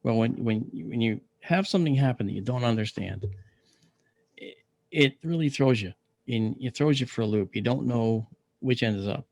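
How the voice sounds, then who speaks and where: A middle-aged man with a deep, gravelly voice from a paralyzed vocal cord, telling a story in an interview, clearing his throat often and using many fillers. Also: normal speed, a little mellow, no room sound. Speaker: interview guest, astronomer.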